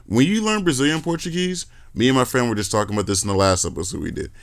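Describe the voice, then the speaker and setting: A man's voice: mid-range voice, fast talker, gravelly. A man hosting a podcast, chatting casually with lots of fillers and restarts. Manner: animated, many fillers and restarts. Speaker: podcast host. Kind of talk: casual interview chat.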